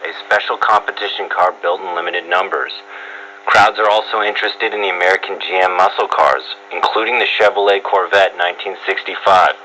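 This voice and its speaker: A man's voice: low-pitched, bright, forceful tone. A man reading a scripted radio feature as a reporter, heard over shortwave radio. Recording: noisy shortwave radio reception with hum. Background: static and hum.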